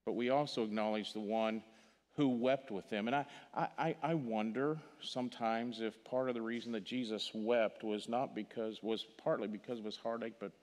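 An older man from Missouri with a Missouri accent, preaching a funeral sermon in a church sanctuary, earnest, repeating words and dropping to a hush on key phrases. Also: on the low side, steady tone, normal speed. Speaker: pastor. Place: large church sanctuary stage. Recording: long hall reverb from the church.

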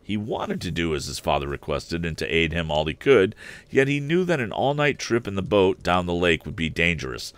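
A man's voice: sits low, big pitch swings, normal speed, dry recording. An older man narrating a storybook aloud as a livestream storyteller, close to the mic.

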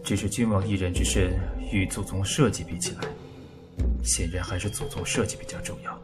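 Courteous, formal, quietly defiant period-drama official male actor, low-pitched, faint music behind. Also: fairly even intonation, a little fast, natural voice. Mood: coolly firm. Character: composed, shrewd, politely defiant court official. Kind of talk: Chinese period audio drama acting.

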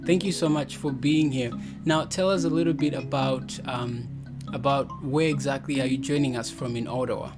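A man's voice: medium pitch, fairly even intonation, moderate pace, over music. A young man hosting a Bible podcast over a video call on a headset, upbeat and encouraging. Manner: upbeat, encouraging, laughs often. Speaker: podcast host.

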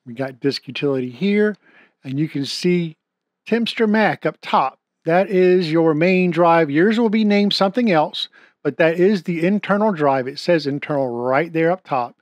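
A middle-aged repair technician with a Southern US drawl, plainly explaining each step of a fix with the odd "uh". His voice sits a little high for a man. The sound is dry and close, with no room around it. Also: lively intonation, moderate pace, no room sound. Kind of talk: screen-recorded tech tutorial.

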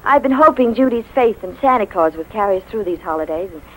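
A woman playing a gentle, wistful young mother in a 1950s radio drama, speaking sincerely. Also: medium pitch, animated, fast talker, poor audio. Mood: worried, wistful. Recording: vintage 1950s radio recording.

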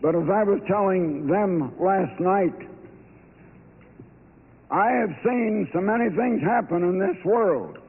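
A man in his eighties, hoarse, preaching slowly and emphatically at a podium microphone in a large hall. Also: high voice. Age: 80s. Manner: slow, emphatic preaching cadence. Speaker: evangelist. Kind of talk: preaching speech. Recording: tinny, echoey hall recording.

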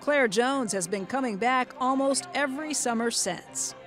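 High-voiced American woman narrating, music behind. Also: unhurried. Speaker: documentary narrator. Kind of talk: scripted documentary narration.

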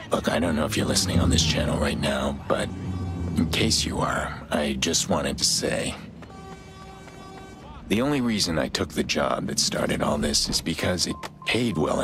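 Very deep, terse American tough guy. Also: gravelly, heavily acted. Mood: subdued, defensive. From United States. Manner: terse, stoic, deadpan. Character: famous tough American gunman, terse, middle-aged father figure. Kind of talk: video game cutscene acting. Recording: music behind the voice.

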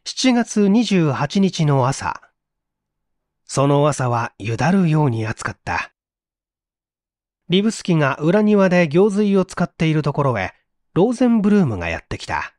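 A man's voice: a little high, sing-song, a little slow, darkish tone, dry recording, clean studio sound. A man reading a story as an audiobook narrator in a dramatic, scripted style.

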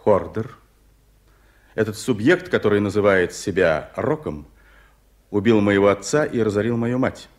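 An actor playing a reserved, embittered private secretary in a radio play, with clipped, restrained delivery. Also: male voice, lowish voice, a little slow, pauses often, natural voice. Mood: bitter. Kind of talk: Soviet radio play acting.

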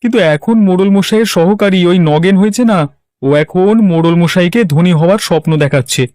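Man acting a higher-voiced worried villager. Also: quick pace, a little mellow, no room sound, studio quality, natural voice. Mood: worried, complaining. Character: worried plain-spoken village farmer men. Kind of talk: Bengali cartoon dialogue.

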